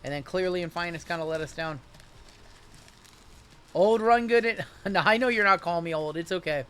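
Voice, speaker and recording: A man in his late thirties runs a live card break stream, talking in a loose, chatty way as he reads off players and answers viewers. His voice sits a little high and has a bright, forceful edge. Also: moderate pace, clear, resonant tone. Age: 30s. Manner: chatty, rattles off player names and chats with viewers.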